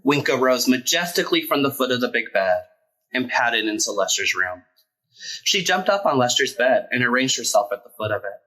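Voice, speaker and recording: A man reading a children's picture book aloud as a storyteller, expressive and emphatic. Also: medium pitch, moderate pace, poor audio.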